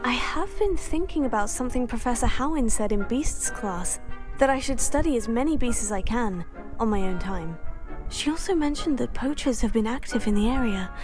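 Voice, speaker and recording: Game heroine, woman actor: young student witch, lightly high pitch, polite, measured, caring, music underneath. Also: expressive, natural voice. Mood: thoughtful. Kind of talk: video game character acting.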